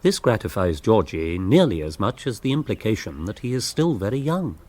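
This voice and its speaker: An actor playing a wry, urbane, gently mocking author who narrates a comic radio drama in an English accent. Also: male voice, lowish voice, sing-song, normal speed.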